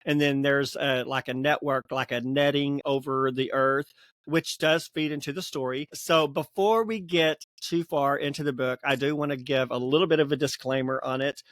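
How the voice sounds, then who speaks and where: A man's voice: mid-range voice, fairly even intonation, moderate pace, a bit bright, no room sound. A man co-hosting a casual book discussion podcast, rambling a little, stumbling over words and laughing often. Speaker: podcast co-host.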